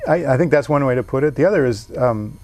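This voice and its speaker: A man's voice: medium pitch, lively intonation, quick pace, a little mellow, no room sound. A man, a painter being interviewed, talking things through thoughtfully with frequent restarts. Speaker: painter and guest. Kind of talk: casual interview.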